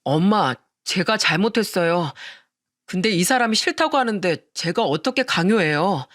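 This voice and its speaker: A woman's voice: lowish voice, lively intonation, normal speed, fairly bright tone, no room sound, clean studio sound. A woman voicing a henpecked grown man, hesitant and apologetic, in a family drama story. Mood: sheepish.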